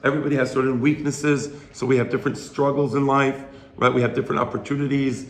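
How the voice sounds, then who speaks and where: A slightly hoarse man giving an informal religious lecture to a study group, explaining and checking in with rhetorical questions. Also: medium pitch, flat delivery, quick pace. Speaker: Torah teacher. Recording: roomy echo, faint background noise.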